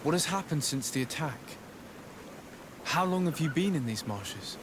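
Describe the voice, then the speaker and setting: An actor playing a courteous noble envoy in a fantasy game, speaking in a measured, formal way. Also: male voice, fairly high pitch, animated, noisy background, natural voice. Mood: calm, inquiring. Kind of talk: scripted game cutscene acting.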